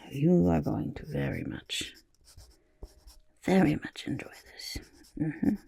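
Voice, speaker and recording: Casting: smug oddball goblin painter for ASMR roleplay; dark raspy tone, swooping intonation, halting mutters and whispers. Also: female voice, deep voice, dry recording, heavily acted. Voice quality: raspy, gravelly, often dropping to whispers. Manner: halting, muttering, refers to self in third person. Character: smug, chaotic goblin portrait artist, eager and odd. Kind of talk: ASMR roleplay, personal attention.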